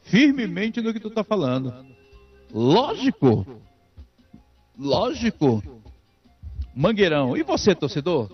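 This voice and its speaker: A man hosting a sports call-in radio show, energetic and teasing, repeating his phrases. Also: high-pitched, slow pace, pauses often. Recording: muffled lo-fi radio sound. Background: faint music.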